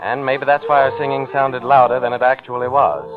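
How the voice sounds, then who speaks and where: A man's voice: mid-range voice, fairly even intonation, brisk, over music, lightly acted. An actor playing a brooding, wry man in a vintage radio suspense drama, with muffled old sound. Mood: brooding, tense. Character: brooding, sardonic man, haunted narrator of suspense tale. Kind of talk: 1940s radio acting, first-person narration.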